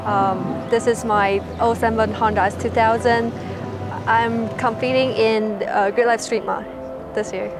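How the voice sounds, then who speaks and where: A woman's voice: a little high, steady tone, measured pace. Woman driver from Taiwan, hesitant speech. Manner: hesitant, with fillers. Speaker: race car driver. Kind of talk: casual interview answers. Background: loud background music.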